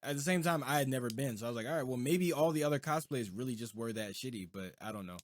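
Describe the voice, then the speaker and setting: A young man co-hosting a podcast in casual chat, rambling and quick to laugh. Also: mid-range voice, lively intonation. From United States. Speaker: podcast co-host.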